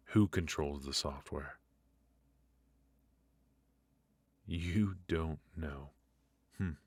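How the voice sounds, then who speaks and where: A man playing a ruthless, smugly menacing mafia boss in a noir ASMR roleplay, speaking deliberately and theatrically with mock affection. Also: extremely low pitch, a bit bright, no room sound, exaggerated voice. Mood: menacing, amused. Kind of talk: ASMR roleplay, noir audio drama acting.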